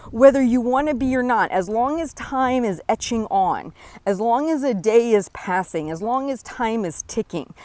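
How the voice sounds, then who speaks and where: A woman in her forties hosting a podcast, talking earnestly and encouragingly straight to the listener, often checking in with a quick "right?". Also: on the high side, darkish tone, no room sound. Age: 40s. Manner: earnest, encouraging, direct address with frequent "right?". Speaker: podcast host. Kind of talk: motivational solo talk.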